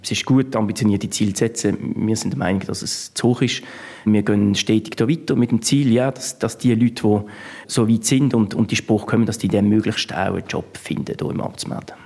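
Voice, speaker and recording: A man giving halting, unscripted interview answers as a politician, often repeating words. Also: fairly low pitch, a little mellow, slightly rough.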